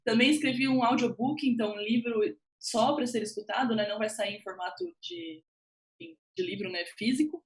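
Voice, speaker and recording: A woman with a Brazilian accent and a fairly high voice talks as a guest writer on a panel. She recounts her career conversationally, with an animated, informal manner that slips into quoted remarks. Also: normal speed, low-quality recording. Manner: animated, informal, self-quoting storytelling. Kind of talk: conversational personal account on a panel.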